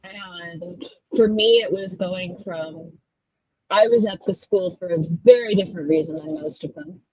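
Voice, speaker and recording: A woman hosting a relaxed conversation, spinning anecdotes with dry, wry humor. Her voice has a slight husky edge. The sound is dry and somewhat dull, like a modest home setup. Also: lowish voice, unhurried, no room sound. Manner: chatty storyteller with wry asides. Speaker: host. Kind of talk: casual chat.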